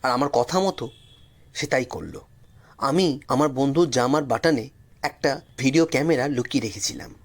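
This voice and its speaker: A storyteller narrating a suspense tale in the first person, in a dramatic audio-story style. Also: medium pitch.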